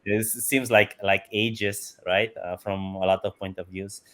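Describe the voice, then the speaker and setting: A man's voice: fairly low pitch, flat delivery, a little slow, a bit bright. A man, enthusiastically answering interview questions and chuckling often. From Romania. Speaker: guest, company CEO.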